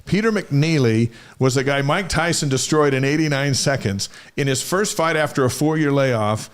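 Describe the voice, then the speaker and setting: A man's voice: mid-range voice, moderate pace, clear, resonant tone. A man with an American accent hosting a sports talk show in a casual, conversational style. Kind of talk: casual sports talk with live chat shout-outs.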